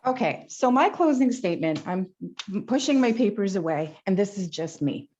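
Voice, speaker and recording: A low-pitched woman speaks with passion at a candidates forum and keeps returning to the same rhetorical questions. The recording is dull and lo-fi. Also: a little mellow. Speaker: Green Party candidate. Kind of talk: debate closing statement.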